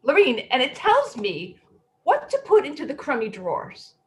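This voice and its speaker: A woman's voice: high-pitched, wildly swooping pitch, normal speed. Woman acting a naive, eccentric, swoopy-voiced woman. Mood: insistent. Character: naive, eccentric woman upset about a talking icebox. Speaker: actor in a staged play reading. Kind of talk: readers theatre acting.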